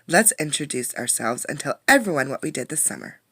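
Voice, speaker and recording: A woman's voice: sits low, very animated, fairly quick, bright, forceful tone, slightly rough, exaggerated voice. A woman voicing a kindly, welcoming first-grade teacher in a storybook, with an encouraging manner.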